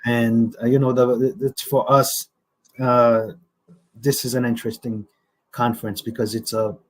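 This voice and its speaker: A middle-aged man from Haiti, an executive director answering interview questions, hesitant with many pauses and restarts. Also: mid-range voice, little pitch movement, poor audio. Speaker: guest, nonprofit executive director.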